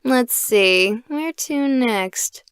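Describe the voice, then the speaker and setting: A woman playing an excitable, chatty young streamer exploring a haunted place in a visual novel, with an expressive, playful delivery. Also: fairly high pitch, extremely slow, smooth, no room sound, clean studio sound. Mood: excited, chatty.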